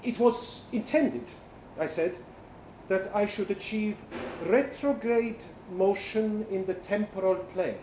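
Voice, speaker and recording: A man's voice: high voice, takes it slowly, darkish tone. A man reading a short story aloud as an earnest, bewildered professor from the future, speaking formally and hesitantly with precise diction. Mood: earnest, measured. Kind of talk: dramatic first-person short story reading. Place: indoor live literary reading event. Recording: roomy live venue, faint background noise.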